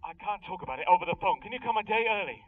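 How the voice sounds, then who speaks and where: Thin phone-line sound, high-pitched fast-talking male army pal, jaunty, secretive, pressing, audio drama. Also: animated. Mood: urgent, secretive. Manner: chummy, pressing. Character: jaunty, secretive male old army mate, middle-aged. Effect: telephone voice.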